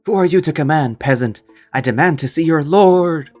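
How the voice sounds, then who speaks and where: Man doing a raised, slow, pompous magus spirit for an audio story, archaic and haughty. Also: fairly high pitch, animated, faint music behind. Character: arrogant male magus spirit, pompous and theatrical.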